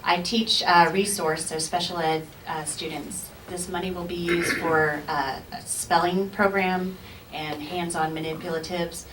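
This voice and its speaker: A woman's voice: lowish voice, fairly even intonation, unhurried, distant mic, noisy background. A woman special education teacher giving a grateful, slightly hesitant acceptance speech.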